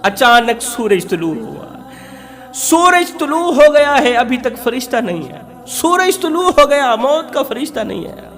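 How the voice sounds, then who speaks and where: A man's voice: very high-pitched, very animated, unhurried, a bit bright, faint music behind. A man preaching an emotional Islamic moral story, dramatically, with emphatic repetition.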